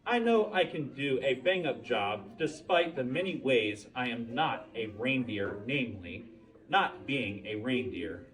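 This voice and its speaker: A man's voice: medium pitch, animated, a little slow, a bit bright, recorded from a distance, rough recording. Earnest, hopeful storybook moose, read by a man. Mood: eager, confident. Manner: earnest, chatty, awkwardly hopeful letter-writer. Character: earnest, eager male moose hoping to be a reindeer.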